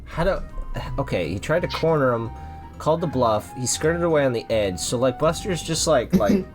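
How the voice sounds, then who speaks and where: Man reading aloud with lively, swinging pitch. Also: normal speed, faint music behind. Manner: breaks off into amused asides. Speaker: host and reader. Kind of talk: comedic read-along with casual commentary.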